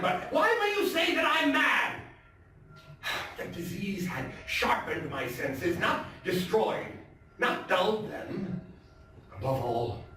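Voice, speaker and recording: A man performing a mad, agitated murderer who insists he is sane, in a theatrical gothic horror stage reading, halting and emphatic. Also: on the high side, exaggerated voice. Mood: agitated, defensive. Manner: halting, emphatic repetitions, swinging from hushed to shouting. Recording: echoey theatre, distant mic, faint noise.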